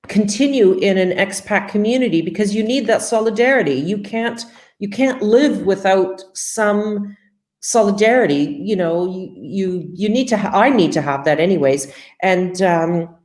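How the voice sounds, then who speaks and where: A woman's voice: medium pitch, a little mellow, reverberant. A woman from Canada, the guest, talking casually with lots of fillers and acting out little exchanges. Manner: chatty, many fillers, acts out quoted exchanges. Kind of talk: casual interview chat.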